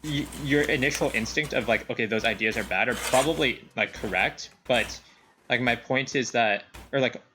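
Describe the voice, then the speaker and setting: A young man co-hosting a casual chat podcast, talking in halting restarts full of "like" fillers. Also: medium pitch, noisy background. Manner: hesitant, many restarts and "like" fillers. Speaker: podcast co-host.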